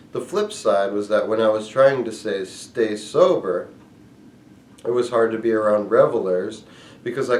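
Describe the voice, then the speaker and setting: A man with a fairly low voice reads aloud from a memoir. He sets out each phrase in a measured, deliberate way. The recording has a clearly echoey, roomy sound. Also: darkish tone, distant mic, slight background noise. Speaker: book reader and reviewer. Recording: echoey room.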